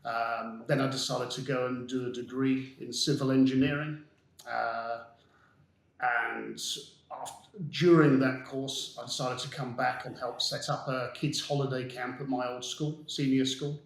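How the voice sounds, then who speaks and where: A man whose first language is Dutch, a guest telling his life story in a measured way with frequent ums. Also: medium pitch, level tone, gravelly, reverberant, poor audio. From Netherlands and southern England. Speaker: guest, business founder. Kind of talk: casual interview storytelling.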